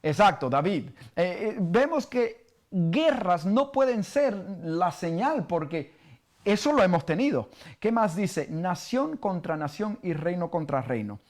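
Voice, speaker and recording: A man from Cuba with a Cuban Spanish accent, a pastor teaching a bible study sermon, halting with many fillers and questions to his listeners. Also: high voice, very animated.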